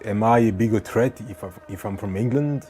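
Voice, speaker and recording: A man born in France recounting a run-in with police in a conversational, animated way, full of asides to the listener. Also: lowish voice, brisk, soft background music. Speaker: vlogger. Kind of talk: storytelling to camera.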